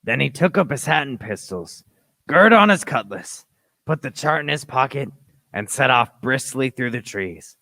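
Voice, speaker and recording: A man's voice: mid-range voice, animated, moderate pace, gravelly, pushed and bright, no room sound. A man narrating an adventure radio play in scripted, first-person storytelling.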